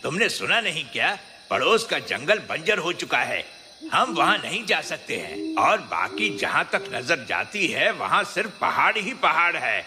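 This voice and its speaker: A worried, argumentative cartoon jungle creature played by a man. His voice is slightly high and swings expressively. Long reverb hangs on it, with clear music behind. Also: sing-song, unhurried. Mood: worried, exasperated. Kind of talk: animated children's fable acting.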